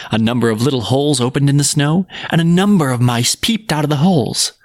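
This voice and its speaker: A man's voice: mid-range voice, dry recording, studio quality. A man narrating a scripted folk tale as a podcast storyteller.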